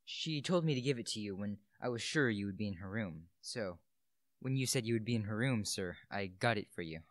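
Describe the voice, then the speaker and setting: A man's voice: fairly low pitch, expressive, a little fast, no room sound, studio quality, natural voice. A young man playing a meek, polite manservant with a hesitant manner in a radio play. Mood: meek, earnest. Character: meek, deferential manservant bringing a letter.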